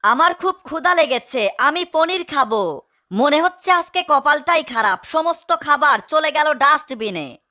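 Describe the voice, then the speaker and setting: A woman's voice: animated, unhurried, full character voice. A woman voicing a small, hungry cartoon mouse, self-pitying and indignant, in a melodramatic comedy dub. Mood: wailing, despairing. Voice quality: high, bright. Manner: plaintive, melodramatic, scolding. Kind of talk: fan-dubbed cartoon comedy.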